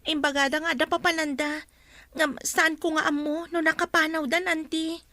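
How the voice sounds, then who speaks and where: A woman's voice: high-pitched, little pitch movement, moderate pace, bright, forceful tone, no echo. A woman playing a frightened but obedient young captive niece in a radio drama, pleading and emotive. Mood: anxious, obedient. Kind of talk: Ilocano radio drama acting.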